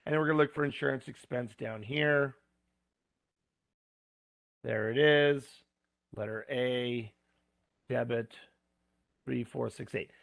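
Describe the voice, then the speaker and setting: A gravelly, hoarse man talks through accounting homework one entry at a time, in plain words with small asides. He sounds close and dry, with no room around his voice. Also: medium pitch, fairly even intonation, slow, long pauses, fairly bright tone, no echo. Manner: plain step-by-step talk with asides and fillers. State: going hoarse. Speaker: instructor. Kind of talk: screen-recorded homework walkthrough.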